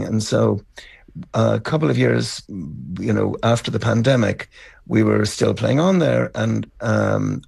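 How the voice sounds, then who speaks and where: A middle-aged or older Irish man telling stories as a musician being interviewed, reflective and somewhat halting. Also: lowish voice, vocal fry, a little mellow, husky, dry recording. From County Cavan, Ireland. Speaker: interview guest, band musician. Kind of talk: conversational storytelling interview.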